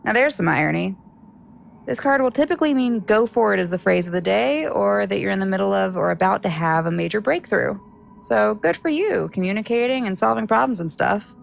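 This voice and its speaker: A woman's voice: mid-range voice, lively intonation, fairly quick, gravelly. A woman playing a wry, reassuring tarot card reader in an audio drama. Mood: wry, explaining.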